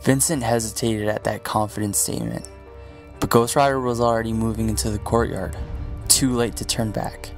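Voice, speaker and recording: A man's voice: fairly low pitch, steady tone, moderate pace, vocal fry. A man reading scripted story narration as the narrator of an audio drama. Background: music with guitar.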